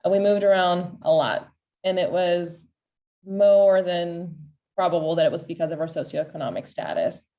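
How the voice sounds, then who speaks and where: A woman's voice: lowish voice, moderate pace. American woman, slightly muffled, telling her story. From Roanoke, Virginia, United States. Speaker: panel speaker. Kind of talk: personal storytelling presentation. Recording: slightly distant, muffled microphone.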